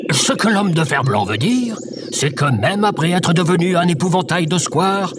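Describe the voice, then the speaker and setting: A man's voice: high-pitched, expressive, moderate pace, background noise. A man playing eccentric, pompous scarecrow and tin-man characters, musing theatrically in a scripted audio drama. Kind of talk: scripted audio fiction acting.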